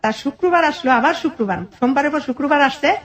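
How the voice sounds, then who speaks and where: A woman's voice: high-pitched, quick pace. A middle-aged woman with a colloquial Bangladeshi accent tells her life story informally, acting out what relatives said as she goes. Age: 40s. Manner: animated retelling with quoted family dialogue.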